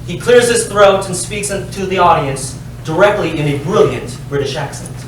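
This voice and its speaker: A man narrates stage directions in a dramatic, deliberate way. His voice is slightly high, and it comes from some distance in a strongly reverberant room with faint noise. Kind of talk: scripted narration at a staged reading. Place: live staged reading, echoey indoor space.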